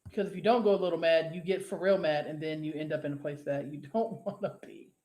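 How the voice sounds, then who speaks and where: A woman's voice: sits low, steady tone, quick pace, darkish tone, echoey room. A woman co-hosting a casual live show, reading out viewer comments in a rambling, profane way and laughing easily. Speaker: co-host.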